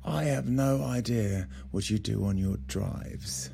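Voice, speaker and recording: A man's voice: fairly low pitch, takes it slowly, vocal fry, dark tone, slight background noise. An actor playing a weary, defiant, sardonic husband and father in a naturalistic audio drama. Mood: sardonic, irritated.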